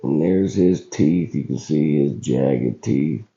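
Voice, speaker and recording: Deep, slow, gravelly hoarse man. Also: dark tone. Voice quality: rough, scratchy voice. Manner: folksy, halting, colloquial. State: hoarse, recovering from illness. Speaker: video channel host. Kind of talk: casual footage commentary. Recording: dull lo-fi recording.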